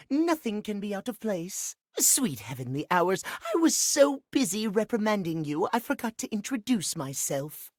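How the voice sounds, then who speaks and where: A man's voice: high voice, fairly bright tone, dry recording, studio quality, full character voice. A man voicing a fussy, pompous male clock obsessed with punctuality in a video game, with prim, haughty, precise elocution. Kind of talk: theatrical video game character acting.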